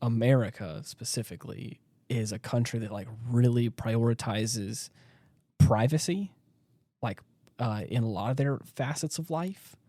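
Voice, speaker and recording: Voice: medium pitch, a little slow, mellow, no echo. Hedging podcast co-host, dry sound. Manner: hedging, qualifies claims with "like". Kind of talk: casual chat.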